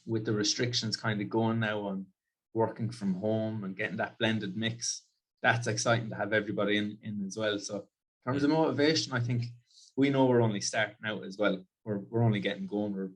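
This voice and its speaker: A man's voice: on the low side, steady tone, a little fast, low-quality recording. A man with an Irish accent, speaking as a company chief in a casual interview, often repeating words as he goes. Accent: Irish Midlands. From Ireland. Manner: frequent word repeats, casual phrasing. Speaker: company CEO guest. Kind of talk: relaxed interview chat. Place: video call.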